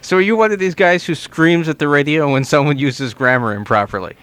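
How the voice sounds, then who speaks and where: A man's voice: fairly high pitch, a little fast, a bit bright, clear, resonant tone. A man hosting a radio interview in a conversational style.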